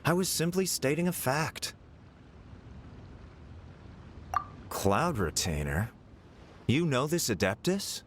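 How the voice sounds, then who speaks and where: A man's voice: fairly high pitch, very animated, unhurried, slight background noise. A man voicing a proud, stubborn scholar-official in a video game, speaking in a formal, condescending way. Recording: music playing behind the voice.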